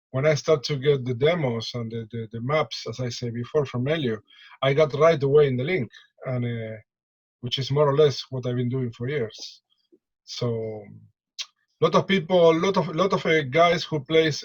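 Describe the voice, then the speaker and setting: A man with an Argentine Spanish accent talking casually in an interview, repeating words in halting non-native English. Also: mid-range voice, breathy edge, highs cut off. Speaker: musician, percussionist and drummer interviewed.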